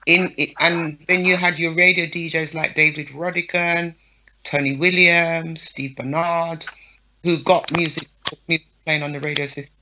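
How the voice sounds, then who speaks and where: A woman promoter talks frankly as an interview guest, often tossing in "right?" and "yeah?" to keep her listener with her. Her voice is bright and forceful. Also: deep voice, fairly even intonation, normal speed, a little hiss or hum, poor audio. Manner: frank, lists names, checks in with "yeah?" and "right?". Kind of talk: casual interview talk.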